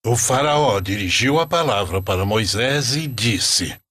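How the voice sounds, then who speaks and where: A man narrating a scripted religious story for a cartoon in a solemn, deliberate manner. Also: mid-range voice, lively intonation, fairly bright tone, no echo.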